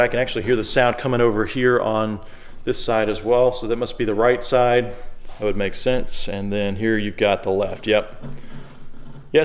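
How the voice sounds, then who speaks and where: A man presents an item in an unscripted, informal walkthrough. His voice sits at an average pitch. He talks plainly and easily, thinking aloud as he goes. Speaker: secondhand shop presenter. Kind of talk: casual unscripted product demonstration.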